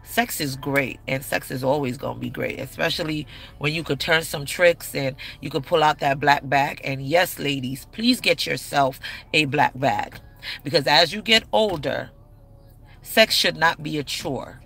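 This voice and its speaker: A woman's voice: low voice, measured pace, vocal fry, a bit bright, breathy edge. A woman in her thirties giving relationship advice in a blunt, candid, slangy way. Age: 30s. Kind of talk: casual advice talk to camera.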